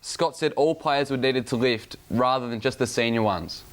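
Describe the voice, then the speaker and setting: A man works as a sports reporter, reading prepared narration for a news bulletin. His pitch sits in the middle range for a male voice. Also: normal speed, noisy background.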